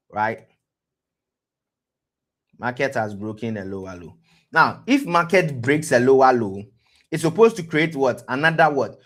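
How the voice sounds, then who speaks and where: A man with a West African accent hosting a live-streamed trading lesson, pausing to ask "what?" and answering himself, often repeating words as he goes. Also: mid-range voice. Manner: quizzes listeners with rhetorical "what?" prompts; repeats words and restarts phrases. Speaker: trading educator, stream host.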